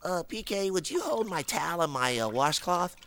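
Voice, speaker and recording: A man playing a goofy, clueless grown-man sidekick who rambles slowly and hesitantly in a comic skit. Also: high-pitched, expressive, fairly bright tone, slight background noise.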